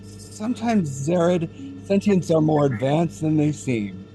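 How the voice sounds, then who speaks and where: An actor playing an experienced, thoughtful male alien data center technician in an audio drama, explaining things in a measured way. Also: on the high side, big pitch swings, full character voice. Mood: reflective.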